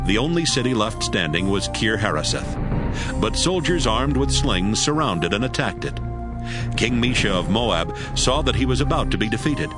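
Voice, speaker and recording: A man narrating scripture from a script as a measured, dramatic storyteller. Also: mid-range voice. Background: music.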